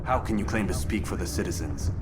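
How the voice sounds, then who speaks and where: An actor playing a stern, moralizing young assassin who speaks in a measured, solemn way in a video game. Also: male voice, deep voice, natural voice. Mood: stern, quiet. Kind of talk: video game cutscene acting. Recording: heavy background noise under game dialogue.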